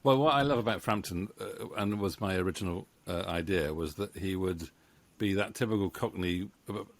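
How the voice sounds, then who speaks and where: Hesitant, low-voiced man. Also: moderate pace, a little hiss or hum. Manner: hesitant, rambling, chuckling. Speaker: interview host and co-writer. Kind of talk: casual video-call interview. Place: book-lined home room. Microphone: studio microphone on boom arm, close.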